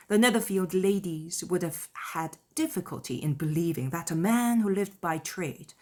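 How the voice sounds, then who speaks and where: A woman reading scripted literary narration as an audiobook narrator, in a measured, formal manner. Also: lowish voice, darkish tone.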